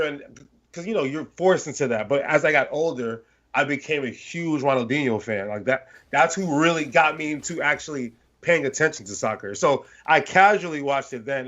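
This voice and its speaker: A man born in London to a Nigerian family, co-hosting a sports podcast and telling a long, rambling story in casual chat. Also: medium pitch. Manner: rambling, animated storytelling with restarts. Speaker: podcast co-host. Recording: slightly dull lo-fi podcast audio.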